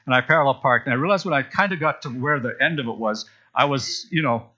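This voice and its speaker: A man's voice: medium pitch, fast talker, bright, forceful tone, highs cut off. A man from Zambia preaching a sermon in a church, chatty and storytelling, with frequent asides. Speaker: pastor. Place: church sanctuary.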